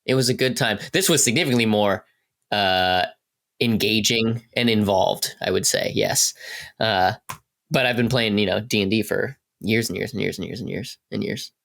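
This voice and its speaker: A man's voice: fairly low pitch, normal speed, gravelly, fairly bright tone. A man chatting casually as a podcast cast member, joking and repeating words for effect. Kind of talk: casual chat.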